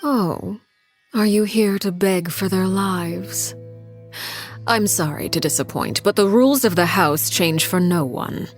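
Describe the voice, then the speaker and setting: A woman voicing a cold, commanding matriarch who rules an orphan household, in video game dialogue. She speaks in a calm, measured and quietly menacing way. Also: mid-range voice, faint music behind, close to an everyday voice. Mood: cool menace.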